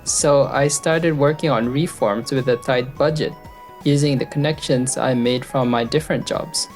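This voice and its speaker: A woman's voice: moderate pace, darkish tone. A woman playing an earnest, loyal young office worker and narrator in a manga dub, speaking in a conversational way. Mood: reflective narrating. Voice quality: low-pitched voice. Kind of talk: anime-style manga dub with first-person narration. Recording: background music under the voice. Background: music.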